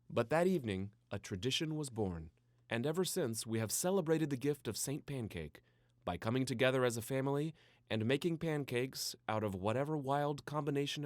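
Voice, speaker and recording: A man reading a scripted children's story in a playful, theatrical way with dramatic pauses. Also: mid-range voice, no echo, studio quality. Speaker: storybook narrator.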